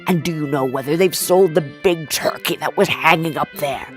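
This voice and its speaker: A woman voicing an emotional old miser in a storybook, repentant and excitable, with emphatic exclamations. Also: deep voice, moderate pace, faint music behind, lightly acted. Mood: excited, generous. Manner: exclamatory, emphatic pleading and rejoicing. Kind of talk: storybook character voice.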